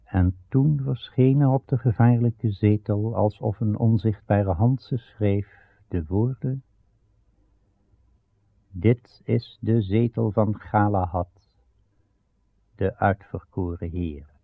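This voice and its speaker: A low-voiced man tells an old legend as a radio play narrator, slow and grave. His voice is husky with a gravelly edge. The recording is muffled and narrow. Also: no echo. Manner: grave, measured, dramatic pauses. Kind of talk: solemn literary storytelling of Arthurian legend.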